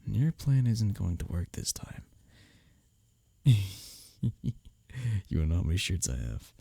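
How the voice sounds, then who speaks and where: Male actor as taunting office rival, mellow dark husky voice, close dry sound, sardonic, flirty bickering, roleplay audio drama. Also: low voice, very animated, a little slow, dry recording, heavily acted. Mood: sardonic, needling. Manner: sardonic, teasing, needling, with breathy chuckles. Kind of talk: intimate roleplay audio drama, spoken to the listener.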